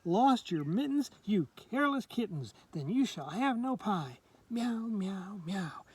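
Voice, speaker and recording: Storybook mother cat, acted by a man: high voice, strict yet fond, drawn-out exclaiming rhymes. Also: animated, slightly rough, full character voice. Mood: scolding. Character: scolding mother cat of three kittens, strict but fond.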